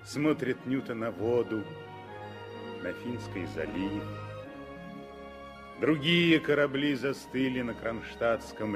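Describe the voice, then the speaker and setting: A man narrating a 1960s radio drama with emphatic, dramatic storytelling. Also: medium pitch.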